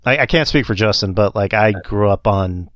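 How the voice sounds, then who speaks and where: A man's voice: fairly low pitch, moderate pace, a bit bright, dry recording, clean studio sound. A man co-hosting a podcast Q&A in easygoing chat, joking and rambling with many fillers. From Texas. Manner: joking, loose, full of fillers. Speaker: podcast co-host.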